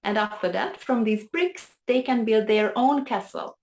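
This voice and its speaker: A woman's voice: on the high side, a little slow, a little mellow. A woman from Hungary with a Hungarian accent, a piano teacher talking earnestly about her path as an interview guest over a video call. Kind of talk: conversational storytelling.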